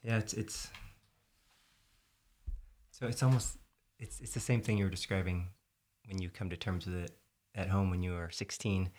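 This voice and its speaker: Deep-voiced reflective man from Texas. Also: fairly even intonation, normal speed, darkish tone, slight background noise. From South Texas. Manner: reflective, searching for words with many fillers. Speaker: podcast host. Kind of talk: casual podcast conversation.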